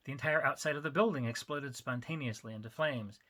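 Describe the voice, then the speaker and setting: A man narrating his own story as an author, in an expressive, emphatic dramatic reading style. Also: medium pitch, brisk.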